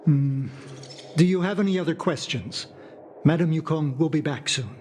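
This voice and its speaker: A man voicing a polite, dutiful aide in a video game, courteous and formal, with gentle concern. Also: fairly high pitch, moderate pace, a little hiss or hum, close to an everyday voice. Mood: thoughtful. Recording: clean game dialogue over background music.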